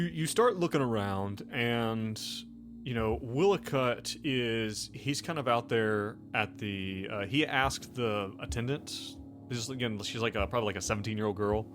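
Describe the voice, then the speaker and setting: An American man narrating a tabletop game as game master, improvising in a halting, conversational way. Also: medium pitch, animated, fairly bright tone. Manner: halting, conversational, peppered with "like" and "you know". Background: faint music.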